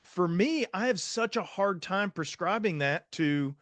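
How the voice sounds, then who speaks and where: A man's voice: sits high, lively intonation, measured pace, a bit bright, dry recording. A man hosting a conversational interview as a psychiatrist, talking in an earnest, explanatory way. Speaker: podcast host, psychiatrist.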